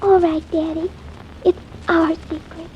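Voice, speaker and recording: A very high, childlike little girl in a 1950s radio play. She is earnest and devoted, speaking through a muffled, noisy old recording. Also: flat delivery, unhurried. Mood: anxious, innocent. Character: frightened, loyal little girl, daughter of the house. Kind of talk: 1950s radio drama acting.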